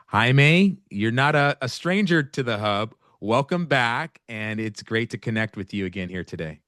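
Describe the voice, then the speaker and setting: A man from Oakland hosting an interview podcast, asking measured, friendly questions and sometimes repeating words. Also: mid-range voice, lively intonation, fairly bright tone, no room sound, studio quality. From Oakland, California. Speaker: podcast host.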